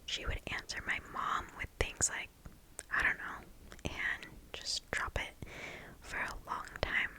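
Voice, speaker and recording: A host reading stories aloud in a breathy, hushed ASMR whisper, close to the microphone, in a deliberate way. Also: poor audio.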